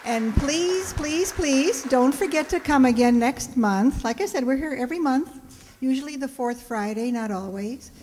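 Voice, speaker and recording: A woman's voice: sits high, lively intonation, normal speed, darkish tone. A woman hosting a live show, making a friendly, informal closing announcement to her audience. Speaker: host of a community radio players' show. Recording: reverberant hall with background noise.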